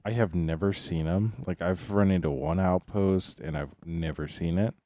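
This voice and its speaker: Young male streamer, deep voice, loose filler-heavy musing. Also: moderate pace, mellow, dry recording. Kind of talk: casual gaming stream chat.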